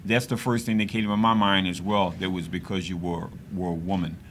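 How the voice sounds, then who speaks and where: A man's voice: deep voice, little pitch movement, fairly quick, fairly bright tone, a little hiss or hum. A man hosting a call-in radio interview, with many stammering restarts and frequent affirmations. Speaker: radio host.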